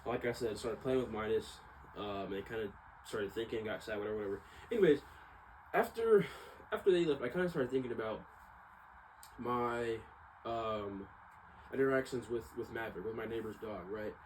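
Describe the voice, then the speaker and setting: Male vlogger, lowish voice, informal and reflective, rambling delivery, some background noise. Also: normal speed, rough recording. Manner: informal, slangy, hesitant, reflective. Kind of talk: casual rambling personal monologue to viewers. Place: at home. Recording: clearly audible background noise.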